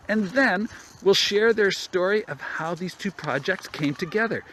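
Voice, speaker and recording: A man theatrically reading a document aloud, halting and repeating words, reacting with exaggerated comic wonder. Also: sits high, fairly bright tone, slight background noise, low-quality recording.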